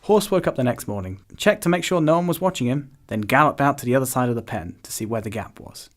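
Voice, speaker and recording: A man's voice: mid-range voice, lively intonation, fast talker. A man reading scripted narration for an animal story.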